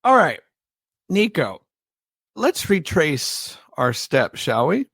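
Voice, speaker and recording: Male host, medium pitch, very slow measured delivery, dry studio sound. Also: big pitch swings, gravelly, a bit bright, slightly rough, dry recording. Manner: slow, deliberate questioning. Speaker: podcast host. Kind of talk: interview questions.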